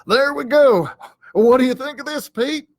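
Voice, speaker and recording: A man's voice: very high voice, moderate pace, no echo, clean studio sound, pitched above the performer's own voice. A man voicing a vain, gullible storybook cowdog in a blustering, stammering, self-important manner. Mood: showing off. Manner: blustering, hesitant, stammering, pompous. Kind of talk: children's storybook character voice.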